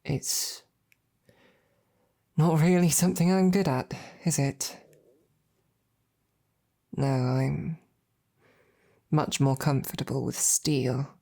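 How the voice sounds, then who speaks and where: A woman's voice: deep voice, steady tone, creaky, heavily acted. A woman playing a devoted, tender female knight in an intimate audio roleplay, speaking to her princess in a hesitant, earnest manner. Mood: wry, reassuring. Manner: hesitant, halting, softly earnest. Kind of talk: intimate scripted roleplay monologue to the listener.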